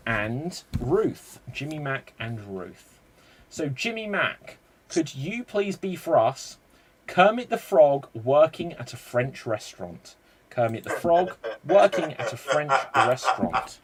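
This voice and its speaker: A man hosting a live-streamed improv show, explaining the games in a brisk, chatty way. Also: mid-range voice, a little hiss or hum.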